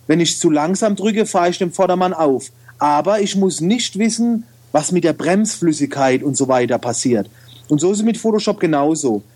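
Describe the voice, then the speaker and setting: Man, regional German dialect, high-pitched voice, chatty and loose, guest in a podcast interview. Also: animated, normal speed, darkish tone. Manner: chatty, loose, many fillers and restarts. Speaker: guest, photo retoucher and video trainer. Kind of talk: casual interview talk.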